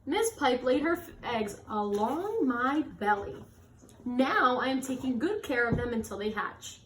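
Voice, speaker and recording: A woman's voice: fairly high pitch, very animated, unhurried, a bit bright. A woman voicing a proud, contented father fish in a children's storybook, upbeat and emphatic. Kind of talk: children's storybook character read aloud. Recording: echoey room with faint noise.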